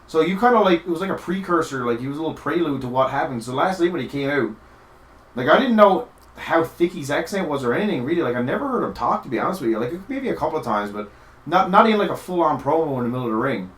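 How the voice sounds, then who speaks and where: Fast-talking, lively young man from Newfoundland. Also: on the high side. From Newfoundland, Canada. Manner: rambling, swears casually, laughs a lot. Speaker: podcast co-host. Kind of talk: casual chat. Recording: echoey room.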